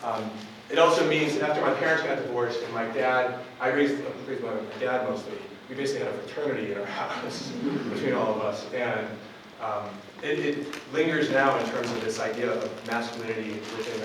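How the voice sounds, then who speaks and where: A man in his forties from Buffalo, New York, giving a relaxed public lecture as a professor, with frequent ums and restarts. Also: medium pitch, a little fast, few pauses. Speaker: university professor. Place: campus lecture hall. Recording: echoey hall from far off with noise.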